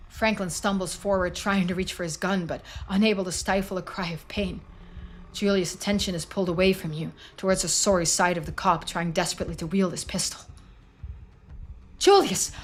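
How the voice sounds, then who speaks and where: A woman's voice: on the low side, steady tone, brisk. A woman reading dramatic scripted narration for a story-driven video game. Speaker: video game voice-over reader.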